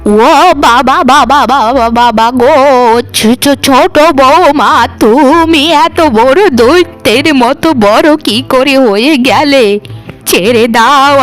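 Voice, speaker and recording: Woman playing a high-pitched, scheming village mother-in-law. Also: a bit bright. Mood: terrified, pleading. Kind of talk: cartoon voice acting.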